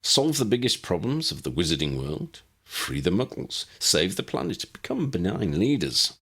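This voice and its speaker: A man's voice: fairly low pitch, very animated, moderate pace, gravelly, a bit bright, husky, pitched above the performer's own voice, exaggerated voice. A man voicing an earnest, clever young woman, a witch, with an articulate, precise manner in an audiobook story. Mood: earnest, analytical.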